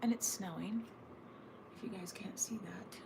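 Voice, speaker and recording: Woman half-whispering on a morning livestream, gravelly froggy voice, rambly and jokey, lots of hiss behind her. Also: medium pitch, measured pace. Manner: hushed, half-whispered talk, rambling and joking. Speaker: livestream host reading chat. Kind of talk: casual morning livestream chat. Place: home with others asleep. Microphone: phone or camera on a tripod.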